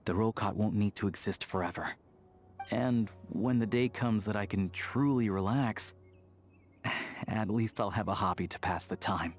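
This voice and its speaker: Game role: young rugged hunter-lawman, lowish register, matter-of-fact, easygoing, light music bed. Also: unhurried. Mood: reflective. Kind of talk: video game character dialogue. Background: soft background music.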